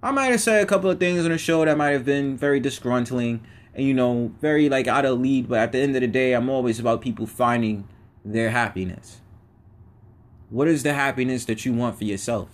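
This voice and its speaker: A man hosting his own podcast, giving a casual, preachy motivational monologue in everyday slang. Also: medium pitch. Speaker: podcast host.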